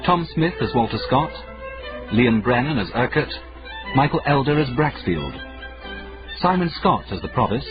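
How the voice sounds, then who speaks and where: A man's voice: mid-range voice, big pitch swings, moderate pace, pauses often. A man announcing radio drama credits in a scripted style. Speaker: announcer reading cast credits. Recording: muffled radio recording with fiddle music.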